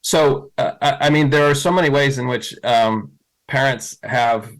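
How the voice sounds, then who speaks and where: A man's voice: medium pitch, a little slow. A middle-aged man answering questions as a school board candidate, thoughtful, with many fillers and restarts.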